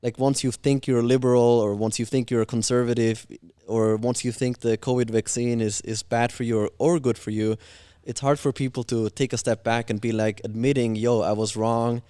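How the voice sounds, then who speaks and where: A man's voice: mid-range voice, level tone, normal speed, mellow, clean tone, no room sound, clean studio sound. A man from Austria, a podcast guest talking bluntly and opinionatedly in casual chat. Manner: blunt, opinionated, swears freely.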